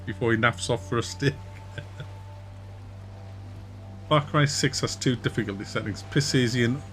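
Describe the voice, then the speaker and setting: A man with a Wearside Mackem accent from near Durham, casually chatting with viewers during a game stream, relaxed and chatty, often laughing and trailing off. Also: medium pitch. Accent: Wearside (Mackem) North East English. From North East England, near Durham. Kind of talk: casual live-stream chat reading viewer comments.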